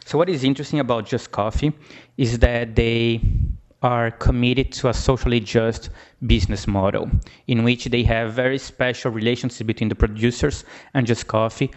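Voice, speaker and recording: Brazilian man giving a halting academic talk. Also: fairly low pitch, highs cut off. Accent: Brazilian Portuguese. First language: Portuguese. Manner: halting, frequent restarts and fillers. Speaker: academic presenter. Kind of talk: conference talk.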